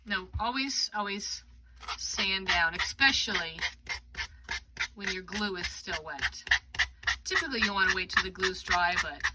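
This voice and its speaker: Woman crafter, congested, chatty running commentary while working; mic set back in the room, steady background noise. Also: medium pitch, measured pace, pauses often, far from the mic. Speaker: craft demonstrator. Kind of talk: live craft tutorial.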